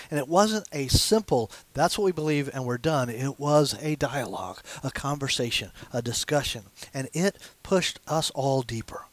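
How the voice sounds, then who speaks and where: A man's voice: fairly high pitch, no room sound. Pastor in his sixties, easy conversational sermon at a small church pulpit, dry close sound. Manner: conversational, gesturing with his hands as he preaches. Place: small church sanctuary with stained glass windows.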